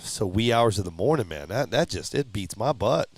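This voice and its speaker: A man's voice: fairly low pitch, expressive, normal speed, darkish tone, dry recording. A middle-aged man hosting a live-streamed hobby talk show, chatting casually, rambling with restarts and reading out viewer comments. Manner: rambling, with frequent restarts and chuckles.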